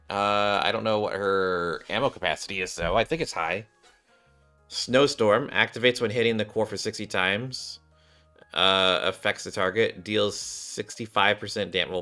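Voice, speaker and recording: Guy streaming a game, deep but bright voice thats a bit worn, rambles while thinking out loud. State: voice slightly off, recovering. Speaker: gaming streamer, host. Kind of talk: casual game commentary, reading skills aloud.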